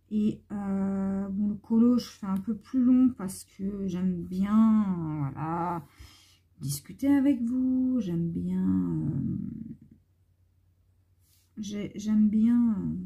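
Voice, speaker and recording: A hoarse woman talks to her viewers at an unhurried, drawn-out pace, her voice mellow and low in brightness. She rambles in a relaxed, wandering way, recorded close on a phone indoors at home. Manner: rambling, meandering talk. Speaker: vlogger. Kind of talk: casual chat to viewers.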